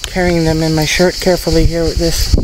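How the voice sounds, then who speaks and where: A woman's voice: deep voice, flat delivery, a little fast. A woman in her thirties casually showing her farm on a handheld camera outdoors, chatting and restarting phrases as she walks. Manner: chatty, repeats and restarts phrases while walking. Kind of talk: casual walk-and-talk vlog. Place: outdoors on a rural farm with insects around. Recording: outdoor camera audio with clear insect noise.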